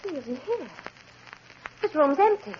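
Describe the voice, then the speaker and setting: A woman's voice: high voice, sing-song, a little slow. An actress playing a determined, suspicious young woman with an insistent, accusing manner in a 1940s radio drama. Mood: alarmed, accusing. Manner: insistent, forceful in argument. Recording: muffled, hissy 1940s radio recording.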